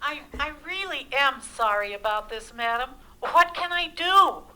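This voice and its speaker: An actress playing a polite, formal and apologetic telephone operator in an old-time radio play. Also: female voice, high-pitched, animated, bright, forceful tone, heavily acted, poor audio. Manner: formal, deliberately courteous. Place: live theatre stage radio play.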